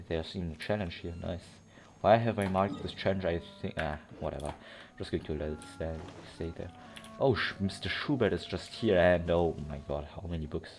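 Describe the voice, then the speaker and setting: A man's voice: low voice, darkish tone. A man giving casual let's play commentary, rambling and thinking aloud as he plays. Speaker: game streamer.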